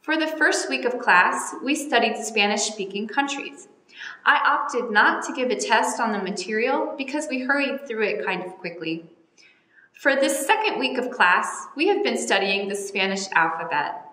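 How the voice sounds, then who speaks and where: A woman from North Carolina, a teacher, giving a measured, orderly recorded welcome message to parents. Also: on the high side, animated, sharp tone. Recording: echoey room with long reverb.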